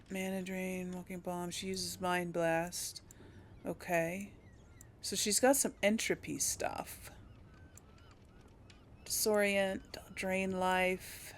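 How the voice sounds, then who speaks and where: Lively woman talking through a game unhurriedly. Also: medium pitch, slight background noise. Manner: thinking aloud, chatty. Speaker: let's play host, role-playing a character. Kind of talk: casual game commentary.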